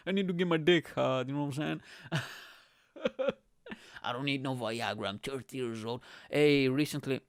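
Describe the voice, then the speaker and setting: A man in his early thirties from Bulgaria, hosting his podcast with a lively, swearing, casual storytelling manner, recorded close on a stand mic in a small room at home. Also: mid-range voice, measured pace, long pauses, no room sound. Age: 30s. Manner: animated, swearing, laughing, acts out quotes. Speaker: podcast host. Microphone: studio mic on stand close to mouth.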